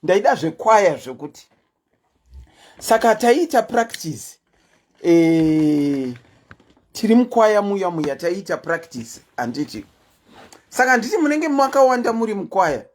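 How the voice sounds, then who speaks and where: A woman with a Zimbabwean accent and a deep voice, hosting a casual livestream chat and telling stories in a chatty, animated way. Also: unhurried, long pauses. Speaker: host.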